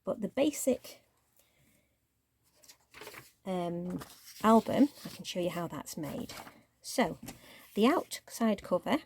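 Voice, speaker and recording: A woman hosting a crafting channel, chatting casually through her project, a little croaky and sometimes dropping to a near-whisper. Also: mid-range voice, takes it slowly, long pauses, slight background noise. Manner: chatty, relaxed show-and-tell, drops to near-whisper while handling paper. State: croaky voice, clearing throat.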